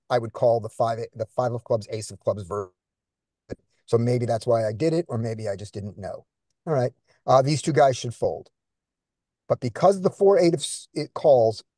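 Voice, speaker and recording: A man's voice: mid-range voice, mellow, no echo, clean studio sound. A man coaching a group through poker hands on a video call, thinking aloud and quizzing his students. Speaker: poker coach leading a study session.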